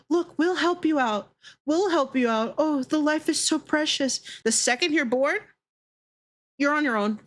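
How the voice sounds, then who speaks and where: A woman livestreamer talking casually to her viewers in an animated, opinionated, sweary way. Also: fairly high pitch. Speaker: livestreamer hosting a Q&A.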